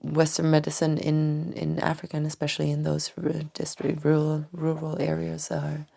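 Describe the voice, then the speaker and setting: A woman doctor from Tanzania answering interview questions thoughtfully, with many hesitant restarts and soft trailing phrases. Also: deep voice, completely flat delivery, moderate pace, vocal fry. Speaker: doctor and acupuncturist, podcast guest. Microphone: podcast microphone.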